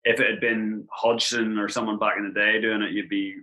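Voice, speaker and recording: An Irish man in his thirties talks quickly, his voice bright and forward. He chats as a podcast guest, running his stories together with loose "like" fillers. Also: lowish voice. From Ireland. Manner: quick, animated storytelling with frequent "like" fillers. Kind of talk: casual podcast chat.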